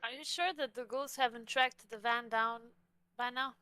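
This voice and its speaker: A woman's voice: a little high, fairly even intonation, normal speed, bright, forceful tone, clean tone, dry recording. A woman taking part in a tabletop game as a player, chatting and bantering casually.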